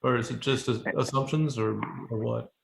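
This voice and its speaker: Gravelly man in an echoey room. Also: mid-range voice, fairly even intonation, moderate pace. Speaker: commission member. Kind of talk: informal meeting discussion. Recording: echoey room on online call.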